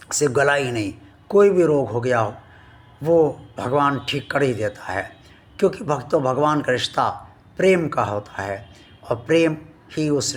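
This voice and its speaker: A man hosting a devotional channel, speaking to his viewers slowly and earnestly, his voice still weak from a sore throat. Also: mid-range voice. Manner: slow, earnest and devout, addressing viewers formally. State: recovering from a sore throat, voice not at full strength.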